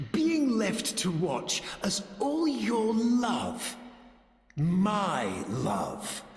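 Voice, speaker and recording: An actor playing a cruel, possessive and grandiose villain in a video game, speaking theatrically and with menace, like a wounded lover. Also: male voice, sits high, heavily acted. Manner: theatrical, menacing, swinging from hushed to bellowing. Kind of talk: dramatic video game voice acting. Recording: echoing hall-like reverb.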